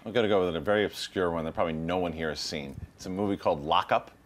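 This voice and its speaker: An excitable man on a film discussion panel, emphatic and often rephrasing himself as he goes. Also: sits low, very fast, a little hiss or hum. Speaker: panelist on a movie talk show. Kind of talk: casual opinionated film discussion.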